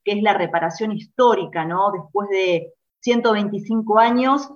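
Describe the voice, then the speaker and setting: A woman's voice: medium pitch, normal speed, dull audio. An Argentine woman hosting a radio interview, chatty and upbeat, with small restarts as she introduces her guest. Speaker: radio host.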